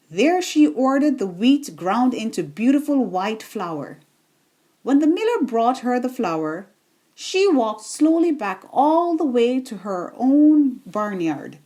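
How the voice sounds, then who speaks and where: A woman reading a children's bedtime story in an expressive, deliberate storytelling manner. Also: fairly high pitch.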